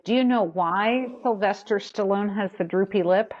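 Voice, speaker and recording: A woman's voice: medium pitch, normal speed, darkish tone. A woman chatting casually on a podcast, often laughing at herself. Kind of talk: casual chat. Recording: dull lo-fi line.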